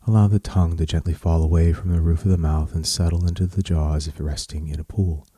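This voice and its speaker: A man leading a guided meditation in a measured, soothing manner, with pauses between short phrases. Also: sits low, fairly even intonation, mellow, slightly rough, no echo, clean studio sound.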